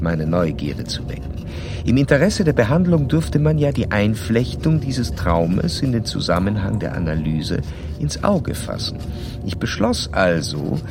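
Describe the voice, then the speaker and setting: An actor in a radio play as a self-assured, probing psychoanalyst who speaks in formal, precise, bookish sentences. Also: male voice, lowish voice. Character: probing, self-assured doctor and psychoanalyst, old-time Vienna. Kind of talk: radio play acting with first-person case narration.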